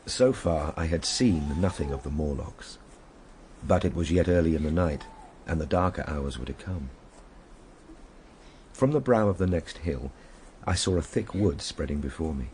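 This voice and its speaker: An actor playing a reflective Victorian gentleman recounting his ordeal, in measured, formal radio drama narration. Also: rumbling low, expressive, a little hiss or hum. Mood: grave, reflective.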